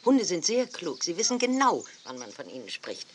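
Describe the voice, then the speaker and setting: A woman's voice: on the low side, wildly swooping pitch, moderate pace, slight background noise. An actress playing a kindly, instructive woman dog breeder in a 1980s children's audio play, explaining things expressively.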